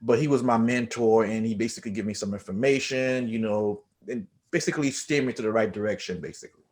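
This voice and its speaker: A man from Haiti speaks as a guest on a podcast and tells his story in a relaxed, unhurried way. He talks in an easy manner with frequent "um" and "you know" fillers. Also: on the low side. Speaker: guest chiropractor. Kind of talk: casual interview.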